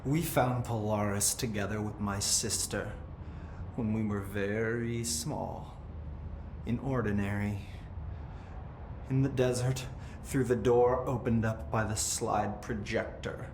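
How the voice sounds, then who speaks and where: An eerie possessed young American in a video game. He talks slowly, resentful and entranced, slipping into cryptic chant-like repetition. The room echoes around him over loud background ambience. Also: on the low side, steady tone, noisy background. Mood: resentful, detached. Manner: slow, trance-like, sing-song repetition of cryptic phrases. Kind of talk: video game cutscene acting.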